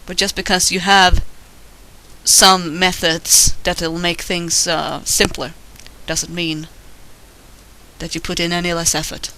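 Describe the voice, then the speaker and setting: A man's voice: sits high, little pitch movement, takes it slowly, bright, forceful tone, slight background noise, low-quality recording. A man with a Swedish accent talks casually while he draws, rambling, self-deprecating and swearing now and then. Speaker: digital artist narrating his drawing. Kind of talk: casual commentary over a drawing. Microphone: voice-over recorded while drawing.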